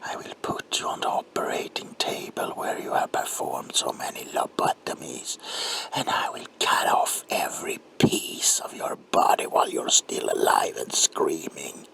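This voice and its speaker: Evil victorian monster man for horror asmr, raspy whisper thats sharp and hissy, really slow n sadistic. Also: rumbling low, very animated, heavily acted, rough recording. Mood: cold threat. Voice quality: whispery, creaky, breathy rasp. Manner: menacing, slow, theatrical, swinging from whispers to outbursts. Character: sadistic, gloating monstrous alter ego, male Victorian villain.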